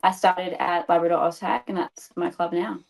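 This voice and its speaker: Lowish-voiced woman in her thirties. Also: little pitch movement, moderate pace, gravelly, rough recording. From Australia. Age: 30s. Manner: chatty, laughs often, explains patiently. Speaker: podcast guest. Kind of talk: casual interview chat.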